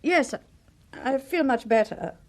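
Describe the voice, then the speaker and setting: A woman's voice: high-pitched, lively intonation, a little slow. A woman acting in a radio drama as a genteel, spirited, well-to-do wife, with a British Received Pronunciation accent. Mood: earnest.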